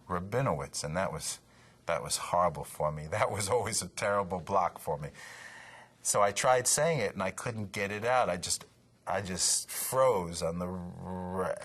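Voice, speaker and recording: A man's voice: deep voice, a bit bright. A man in his fifties who stutters, with repeated words and blocks, talking about himself in an interview. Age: 50s. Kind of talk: personal interview.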